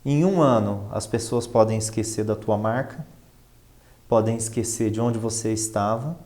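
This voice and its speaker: A man's voice: on the low side, normal speed, a little mellow. A Brazilian man speaking conversationally as an expert guest, explaining things with emphatic repetition and rhetorical questions. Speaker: interview guest, finance professional and professor.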